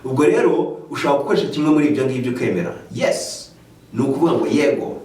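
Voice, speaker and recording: A man with a Rwandan accent teaching English in a slow, careful way, switching into Kinyarwanda to explain. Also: mid-range voice. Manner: slow, careful explaining, lively rising questions. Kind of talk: bilingual language lesson. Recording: echoey room, mic at a distance.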